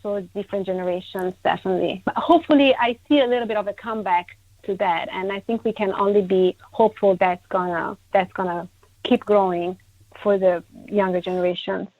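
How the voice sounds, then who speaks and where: A woman from Italy, a guest in a conversational interview, emphatic and quick to repeat her agreement. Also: mid-range voice, fairly even intonation, dry recording. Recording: tinny phone-line sound, dry.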